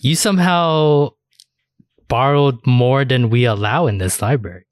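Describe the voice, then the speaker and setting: A man playing a young, easygoing college librarian in an improvised comedy sketch, with a halting, bemused manner. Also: mid-range voice, dry recording, studio quality, close to an everyday voice. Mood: friendly, indulgent. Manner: halting, repeats words, bemused.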